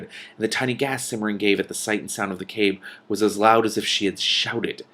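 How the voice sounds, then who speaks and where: A man reading a storybook aloud and adding casual, exclamatory commentary as he goes. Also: mid-range voice. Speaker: reader and commentator.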